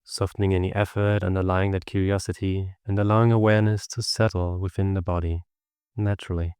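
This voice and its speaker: Male meditation guide, deep clear resonant voice, gentle instructive delivery with long pauses. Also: little pitch movement, no room sound, studio quality. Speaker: meditation teacher.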